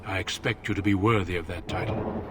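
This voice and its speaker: An actor playing a grave, dutiful older warrior mentor in a fantasy video game, measured and authoritative. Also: male voice, low-pitched, steady tone, close to an everyday voice. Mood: grave, firm. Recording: clear background noise.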